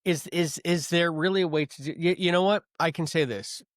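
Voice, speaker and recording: A man's voice: a little high, a little fast, no room sound. Thoughtful man in podcast chat, dry sound. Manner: thoughtful, wondering aloud, reads listener comments. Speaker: podcast co-host.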